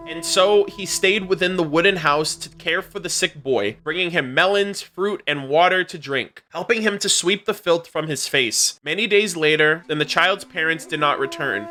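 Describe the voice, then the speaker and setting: A man reading game lore aloud as a streamer, in an expressive storytelling way mixed with casual commentary. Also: on the high side. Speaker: reaction streamer.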